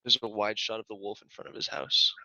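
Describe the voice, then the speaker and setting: A man's voice: lowish voice, little pitch movement, quick pace, bright, forceful tone, no echo. A man reading camera shot directions at a script read, with muffled video call audio.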